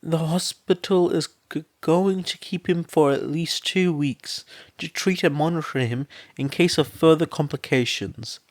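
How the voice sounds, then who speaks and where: A man voicing a gentle, weary middle-aged mother who is a nurse, speaking softly with stammers and clinical terms. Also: fairly high pitch, a little slow, no room sound, pitched above the performer's own voice, lightly acted. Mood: worried.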